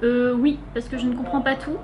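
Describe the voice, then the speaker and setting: A woman's voice: on the high side, fairly even intonation, fairly quick, crowd noise. A young woman from France with a French accent, answering interview questions casually and hesitantly, with many filler sounds. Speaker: interviewee, volunteer. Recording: noisy background hiss.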